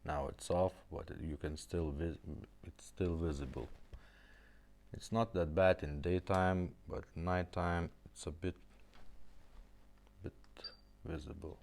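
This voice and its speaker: A man who speaks English as a second language, giving a casual, halting step-by-step product demonstration. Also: deep voice, fairly even intonation, darkish tone, slight background noise. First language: not English. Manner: halting, plain step-by-step explaining. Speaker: presenter demonstrating restored car radios.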